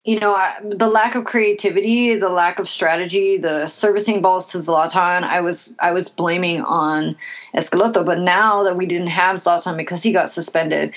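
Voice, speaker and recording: A woman's voice: fairly low pitch, steady tone, moderate pace. A woman from Los Angeles with a Spanish accent, chatting casually about soccer as a co-host, with hesitant fillers. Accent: Spanish-influenced. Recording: dull lo-fi audio.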